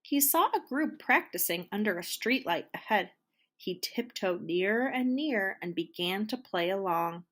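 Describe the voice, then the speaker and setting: A woman storyteller reading a children's storybook aloud in an expressive, deliberate way. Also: mid-range voice. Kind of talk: scripted children's storybook narration.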